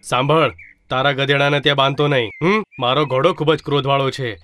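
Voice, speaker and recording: A man's voice: mid-range voice, fairly even intonation, brisk, sharp tone, soft background music, close to an everyday voice. A man voicing a brusque horse trader giving warnings in a children's cartoon.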